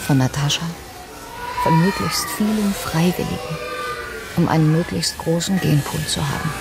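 A haunted, guilt-ridden geneticist. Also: female voice, deep voice, normal speed, creaky, music in the background. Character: guilt-ridden female geneticist, mother, earnest. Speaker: scientist. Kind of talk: radio play acting.

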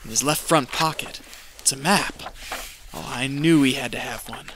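An actor playing a greedy, callous treasure hunter in an audio drama, talking in a scheming, folksy, persuasive way. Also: male voice, medium pitch, normal speed, background noise, natural voice. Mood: callous, gleeful.

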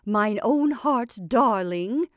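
A woman voicing a doting, sharp-tongued old witch with a high, sharp tone. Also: lively intonation, drawn-out words, no echo, clean studio sound. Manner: fond and coaxing, archaic speech.

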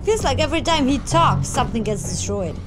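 A woman giving a casual live reaction to a game, speaking in short exclamations and talking back to the characters over a loud game soundtrack. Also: high voice, animated, a little fast. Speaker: reaction video host. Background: game music and vehicle noise.

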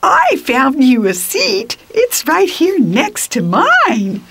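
A woman plays a friendly young storybook alligator boy with a high, lifted voice. He sounds eager and hopeful. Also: wildly swooping pitch, takes it slowly, gravelly, a bit bright, heavily acted.

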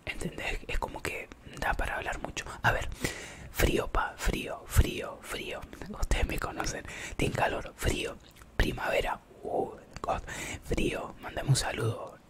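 A man with a Rioplatense Spanish accent, whispering softly as an ASMR live streamer, chatting with viewers in a rambling, playful way. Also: a little slow.

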